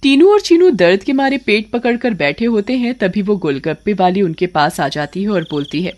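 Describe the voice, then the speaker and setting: A woman's voice: medium pitch, lively intonation, moderate pace, a little mellow. A woman narrator reading a scripted story in a dramatic storytelling style. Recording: music behind the voice.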